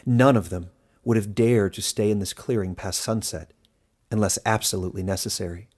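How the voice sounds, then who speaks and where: A man's voice: fairly low pitch, steady tone, moderate pace, a little mellow. A man narrating a scripted fantasy story in a dramatic audiobook style.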